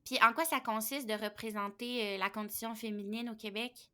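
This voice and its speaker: A woman's voice: on the high side, steady tone, normal speed, bright, forceful tone. A woman hosting a podcast and politely asking interview questions with fillers. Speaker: podcast host.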